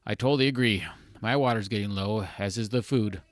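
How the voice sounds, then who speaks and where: A man's voice: lowish voice, brisk, dry recording, lightly acted. A man voicing a practical, level-headed young man in a storybook, explaining plans plainly.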